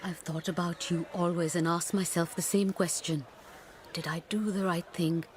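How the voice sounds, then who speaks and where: A woman's voice: fairly low pitch, fairly even intonation, normal speed, slight background noise, natural voice. A woman playing an earnest, lonely, world-weary and self-sacrificing woman in a radio drama, with formal, pleading phrasing. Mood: resolute, weary.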